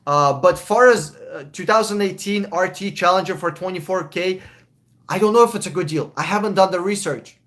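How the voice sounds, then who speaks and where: Young man, slightly high voice; casual, blunt live-stream car advisor. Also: moderate pace, fairly bright tone. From Russia. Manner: casual, blunt, swears, chuckles often. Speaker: car buying advisor, livestream host.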